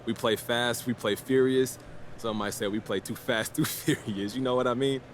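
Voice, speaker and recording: A man's voice: mid-range voice, fairly even intonation, normal speed, slight background noise, lightly acted. A man playing a confident young pro basketball rookie in a video game, talking in a casual, slangy, self-assured way. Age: young adult.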